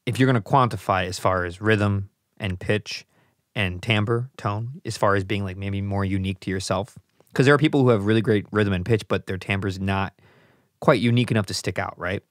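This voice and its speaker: A man in his thirties with a very low voice hosts a podcast, chatting and teasing his guest. He speaks into a desk mic in a small studio. The sound is close and dry. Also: fairly quick, dry recording. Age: 30s. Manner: chatty, teasing, laughs easily. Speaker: podcast host. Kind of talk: casual podcast interview. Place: small studio with colored lights and a table. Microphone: studio mic on a desk stand, headphones.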